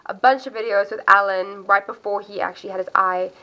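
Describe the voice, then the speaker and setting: A chatty, upbeat woman from South Africa whose accent drifts between South African and American. Her voice is bright and forward, and she talks casually to camera, often breaking off her own sentences. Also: medium pitch, steady tone, a little fast, low-quality recording. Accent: mixed South African and American. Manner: chatty, upbeat, self-interrupting. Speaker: MMA video host. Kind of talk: casual fight predictions to camera.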